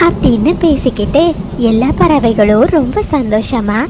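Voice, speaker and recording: A woman tells a children's cartoon story in a clear, even voice. Her pitch sits very high. Loud background noise runs under the whole recording. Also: moderate pace. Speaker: narrator.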